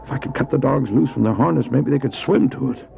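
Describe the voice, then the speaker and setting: A man's voice: mid-range voice, quick pace, gravelly. A man acting an anxious, determined dog-sled driver in a radio drama, telling his story earnestly and urgently. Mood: worried, thinking. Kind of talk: first-person dramatic narration, radio drama. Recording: tinny narrow-band old cassette, music behind.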